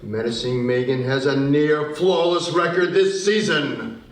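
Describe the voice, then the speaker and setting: A man playing an excited roller derby commentator, calling the match in sports style at a table read. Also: fairly high pitch, measured pace, natural voice. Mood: brisk. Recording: roomy, distant mic.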